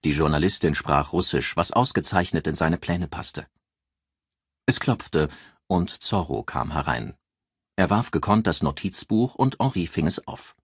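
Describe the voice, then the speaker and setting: A man's voice: deep voice, animated, measured pace, husky, no echo, clean studio sound. A man reading a crime novel aloud as a scripted audiobook narrator.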